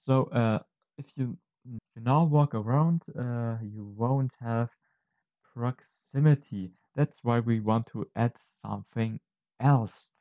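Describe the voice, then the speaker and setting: A man presenting an unscripted software tutorial, explaining step by step in a halting, hesitant way. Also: medium pitch, no room sound, phone-quality sound.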